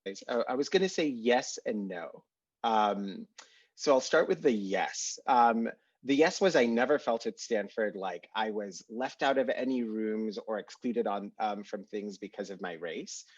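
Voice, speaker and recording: A man's voice: on the low side, animated, measured pace, breathy edge, dry recording. A young man from Kenya speaking earnestly as an alumni panelist on a video call, with many "like" fillers. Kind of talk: candid reflective panel answer. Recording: dull dry video-call audio.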